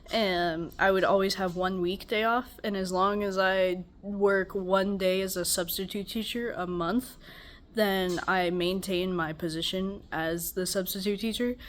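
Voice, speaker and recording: A woman's voice: mid-range voice, fairly bright tone. A young woman with a stuffy, congested voice, rambling wearily through a casual check-in at home. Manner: rambling, weary, self-deprecating. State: tired. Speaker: vlogger. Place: home, beside a loud air conditioner.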